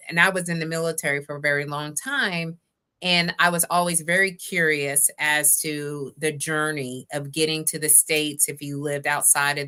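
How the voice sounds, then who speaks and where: A woman's voice: deep voice, bright, forceful tone, clean tone. A woman hosting a talk show, interviewing in a chatty, conversational way and addressing viewers directly. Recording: live online stream.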